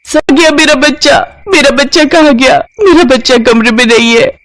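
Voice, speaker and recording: A woman voicing a panicked young mother searching for her missing child, her cries shrill and frantic. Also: moderate pace, fairly bright tone. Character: panicked young mother, the landlord's daughter-in-law.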